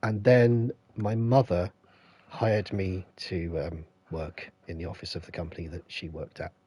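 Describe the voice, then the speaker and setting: Croaky low-voiced English man. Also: flat delivery, measured pace, mellow, no echo. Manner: rambling, anecdotal, stops to greet viewers. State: croaky, unwell. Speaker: streamer. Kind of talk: casual storytelling to live chat. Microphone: headset.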